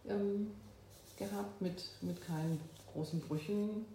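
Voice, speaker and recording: A woman in her fifties from Berlin, a guest in an interview, who talks thoughtfully with pauses and fillers. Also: mid-range voice, warm tone, slight background noise. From Berlin, Germany. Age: 50s. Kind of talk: oral history interview. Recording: echoey room.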